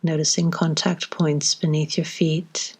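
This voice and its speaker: Low-voiced woman guiding a slow meditation. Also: level tone, breathy edge. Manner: slow, gentle instruction with long pauses. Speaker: meditation guide. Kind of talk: guided body scan meditation.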